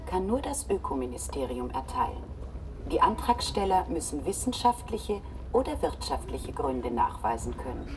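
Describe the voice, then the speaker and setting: An actress playing a polite, unyielding travel service machine in a German science-fiction radio drama, formal and formulaic. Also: female voice, low-pitched, sing-song, moderate pace, recorded from a distance, background noise. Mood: courteous, unyielding. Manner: formal, formulaic, repeats set phrases.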